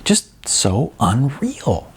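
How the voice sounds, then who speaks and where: Guy doing earnest young woman from a novel, faltering, husky, pitch jumps around. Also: huge pitch swings, drawn-out words, full character voice. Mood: stunned. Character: upset young woman acting student, shaken, earnest.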